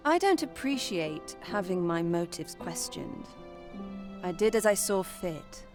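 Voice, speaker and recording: A woman's voice: fairly low pitch, very animated, music in the background. An actress playing a poised, secretive noblewoman in a video game, with coy, haughty, formal speech. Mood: cool, dismissive. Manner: coy, haughty, measured, archaic formal phrasing. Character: poised, sly, teasing noblewoman, secretive and imperious. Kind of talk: video game cutscene acting.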